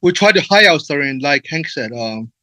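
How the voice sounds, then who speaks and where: A man with a fairly high, bright voice recorded dry and close, with no room sound around it. He speaks at a conference, filling pauses with "uh" and "um" and stuttering on repeated words. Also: lively intonation, no echo. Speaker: presenter and moderator. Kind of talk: online conference talk.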